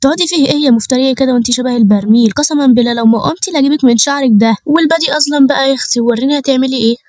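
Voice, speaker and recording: Brief: a woman playing a blunt, cheeky young Egyptian woman in a storybook tale, fast and mellow. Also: high voice, dry recording, studio quality, pitched above the performer's own voice, close to an everyday voice. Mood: quarrelsome.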